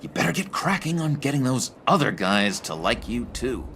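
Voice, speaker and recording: A man playing a needy, unhinged misfit in a video game, gushing and halting as he pleads for friendship. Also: mid-range voice, sing-song, slight background noise. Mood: eager, nervy. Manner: gushing, halting, giggly, swinging between chirpy and hushed.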